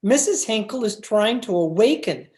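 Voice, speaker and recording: A woman's voice: medium pitch, animated, normal speed, a little mellow, echoey room, natural voice. A woman playing a fussy, deferential husband in old-time radio style, with a pleading manner.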